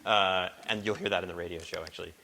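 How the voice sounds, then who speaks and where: A man casually introducing songs at a live barn performance, halting and informal as he thinks aloud. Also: low voice, fairly even intonation, creaky, bright, forceful tone. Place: barn, live before a small audience. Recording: live barn recording with noticeable hiss.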